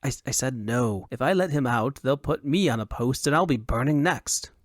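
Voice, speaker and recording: A man's voice: mid-range voice, animated, normal speed, dry recording, studio quality, natural voice. A wary town militiaman, voiced by a male narrator, with a mid-range voice. He is guarded and firm, a jailer who could be bought, in an audiobook performance. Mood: agitated, reluctant. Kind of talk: audiobook character acting.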